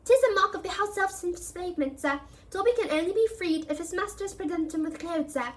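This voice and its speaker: A woman's voice: extremely high pitch, a little fast, voice pushed up. A woman reading aloud, voicing a timid, miserable male house elf who pleads in a servile manner. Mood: pleading.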